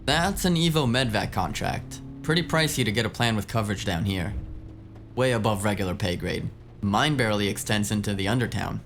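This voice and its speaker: A man's voice: lowish voice, lively intonation, a little fast, a bit bright, soft background music. A man playing a wisecracking, streetwise hacker sidekick in a cyberpunk audio drama, talking in a casual, slangy, flippant way. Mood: teasing, brisk.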